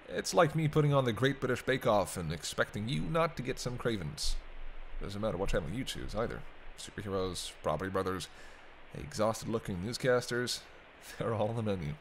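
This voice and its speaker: A man playing a sarcastic, teasing captive vampire in casual, sweary banter for an intimate roleplay audio drama. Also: fairly low pitch. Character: teasing, sardonic male vampire held captive by a hunter. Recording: clear background ambience noise.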